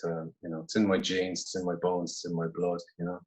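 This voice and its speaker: A man in his forties from Cork, his Irish accent worn soft by years abroad. He talks low and easygoing, musing aloud with frequent little asides as he looks back on his career. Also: steady tone, fast, gravelly. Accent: Irish, softened by years in London. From Ireland, Cork. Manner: reflective, easygoing, many "I suppose" asides. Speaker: actor, guest. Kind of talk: casual interview over video call.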